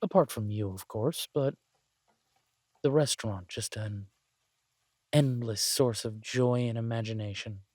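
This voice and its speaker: A man playing an exasperated restaurant chef venting to his partner in a halting, grumbling audio roleplay. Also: mid-range voice, darkish tone, dry recording, studio quality, lightly acted. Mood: weary, wry. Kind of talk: boyfriend audio roleplay, conversational monologue.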